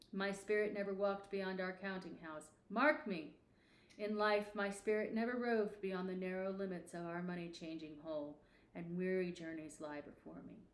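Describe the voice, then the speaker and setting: A woman's voice: mid-range voice, a little hiss or hum, natural voice. Woman doing a mournful old-fashioned ghost guy, stern n solemn, storybook reading, echoey room. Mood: weary, mournful. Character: mournful, stern ghost of a dead male businessman.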